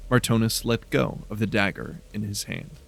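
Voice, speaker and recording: A man's voice: on the low side, moderate pace, gravelly. A man narrating scripted horror fiction in a dramatic storytelling style.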